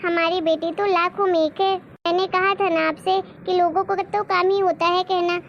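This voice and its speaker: High-pitched, emphatic cartoon mother, acted by a woman. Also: little pitch movement, moderate pace, lo-fi sound, a little hiss or hum. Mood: proud. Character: proud, concerned middle-aged mother in a family cartoon. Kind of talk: Hindi cartoon moral-story dubbing.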